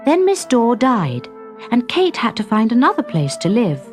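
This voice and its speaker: A woman narrating a children's story in a clear, deliberate, expressive manner. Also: a little high, over music.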